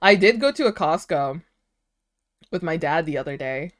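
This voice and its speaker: Animated, chatty woman streamer. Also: deep voice, normal speed. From United States. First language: Vietnamese. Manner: animated, chatty, laughs often, many "like" fillers. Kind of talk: casual livestream chat, reading chat aloud.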